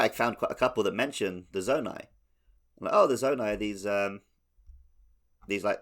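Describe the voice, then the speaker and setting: A man's voice: low voice, fairly bright tone. A man co-hosting a gaming podcast, talking casually and conversationally with the others. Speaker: podcast co-host.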